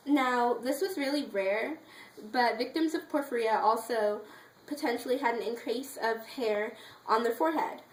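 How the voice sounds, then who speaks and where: Female presenter, slightly high voice, explanatory and a bit halting, informative speech recorded in a roomy space. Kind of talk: prepared informative speech with slides. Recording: echoey room with faint background noise.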